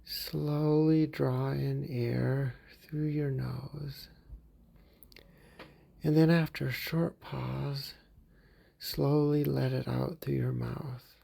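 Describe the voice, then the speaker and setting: Slow gravelly male meditation guide. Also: mid-range voice. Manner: calm, gentle, unhurried, slips into soft whispers. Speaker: therapy group leader.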